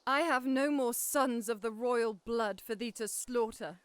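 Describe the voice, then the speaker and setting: A woman's voice: a little high, a little slow, clear, resonant tone, no room sound, close to an everyday voice. A woman playing a fierce grieving queen. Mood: defiant grief. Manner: accusing, impassioned verse. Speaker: actor. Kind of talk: Shakespearean audio drama.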